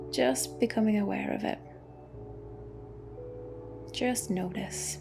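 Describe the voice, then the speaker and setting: A woman leads a guided meditation gently and soothingly, in a soft, breathy voice that often drops close to a whisper. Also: mid-range voice. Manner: gentle, unhurried, with long pauses. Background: music.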